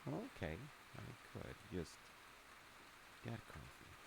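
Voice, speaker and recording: A man playing a gentle, attentive middle-aged father in a wholesome comfort roleplay, soft-spoken, hesitant and reassuring, with rain behind him. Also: lowish voice, slow, gravelly, full character voice. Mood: easygoing, musing. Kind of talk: wholesome comfort audio roleplay, one-sided conversation. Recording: steady loud rain noise over voice. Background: rain sounds.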